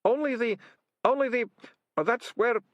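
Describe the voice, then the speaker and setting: A storybook rat-catcher, high-voiced and full of guilt. He whines and moans his colloquial excuses, stumbling over words in a husky, swooping voice, recorded dry. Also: big pitch swings, moderate pace, fairly bright tone, no echo, lo-fi sound, heavily acted. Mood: nervous, evasive. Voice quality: high-pitched moaning voice. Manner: stammering, colloquial, self-justifying. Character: panicky, guilty, whining male deputy rat-catcher.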